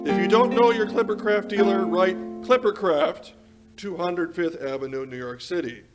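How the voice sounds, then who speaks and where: A man's voice: high-pitched, moderate pace, lightly acted, low-quality recording. A man playing a genial, formal 1940s radio announcer with a polished scripted delivery. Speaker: announcer and host. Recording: music under the voice.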